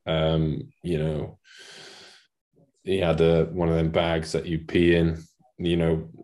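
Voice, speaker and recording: A man's voice: a bass voice, monotone, slow, dark tone. A Welsh man in his late twenties, a farmer guest chatting casually in an interview, self-deprecating and laughing at his own jokes. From Wales.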